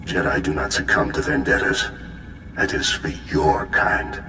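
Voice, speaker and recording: An actor playing a stern, self-righteous Jedi Master in a video game, speaking in a measured, formal and solemn way. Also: male voice, a bass voice, background noise. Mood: stern, accusing. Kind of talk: video game cutscene acting. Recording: echoey voice over game music.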